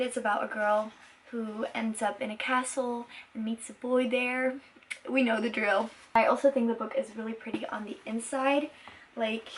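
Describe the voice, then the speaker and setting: A woman's voice: fairly high pitch, slight background noise. A woman in her twenties from Belgium, a native Dutch speaker, chatting casually to viewers in an earnest, enthusiastic and emphatic way. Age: 20s. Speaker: vlogger and musician.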